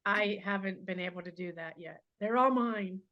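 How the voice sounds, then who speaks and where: A woman's voice: medium pitch, normal speed. A woman moderating a webinar over a video call, friendly and organized, with some "uh" fillers. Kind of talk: hosting a webinar. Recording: dull, lo-fi call audio.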